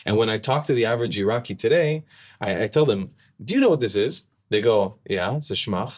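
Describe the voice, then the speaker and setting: A man's voice: fairly low pitch, animated, brisk, a little mellow. A man, a podcast guest, explaining with emphasis in conversation, often repeating words as he starts a sentence.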